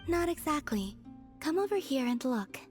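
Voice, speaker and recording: A woman voicing a serene, gentle young moon goddess in a video game, speaking in a soft, composed, unhurried manner. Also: high-pitched, very animated, faint music behind. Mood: calm, gentle.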